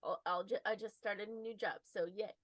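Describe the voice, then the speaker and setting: A woman's voice: medium pitch, fairly even intonation, a little fast, no room sound, clean studio sound. A woman chatting casually in a hesitant way. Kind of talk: casual chat.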